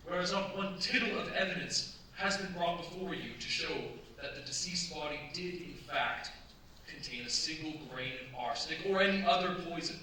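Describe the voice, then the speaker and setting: Actor as a high-voiced defence lawyer, very echoey. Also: male voice, bright, forceful tone. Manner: formal, oratorical. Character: earnest young male defence lawyer, formal and determined. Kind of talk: staged radio play acting. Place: staged performance in a cultural centre. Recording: echoey hall, distant mic, stage noise.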